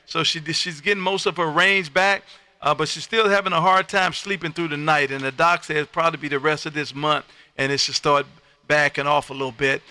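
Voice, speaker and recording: A man's voice: a little high, steady tone, a little fast, bright, forceful tone, clean tone. A man from Texas hosting an amateur radio livestream, chatting and calling out in a folksy, effusive way and repeating his phrases. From United States, Texas. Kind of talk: casual ham radio chat and call-outs.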